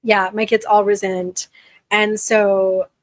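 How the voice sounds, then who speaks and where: A woman in her forties chats frankly with live viewers, often restarting her sentences. Her voice is worn, as if she is losing it, and sits at an ordinary middle pitch. The sound is close and dry, with no room echo. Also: flat delivery, takes it slowly. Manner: candid, conversational, with frequent restarts. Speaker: livestream host. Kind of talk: casual live chat answering viewers.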